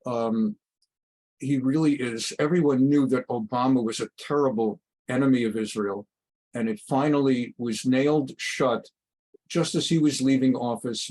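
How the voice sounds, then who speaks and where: A man's voice: mid-range voice, darkish tone. A man speaking as an interview guest and rabbi, giving opinionated answers in an emphatic, rhetorical manner. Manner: emphatic, rhetorical questions, acts out dialogues.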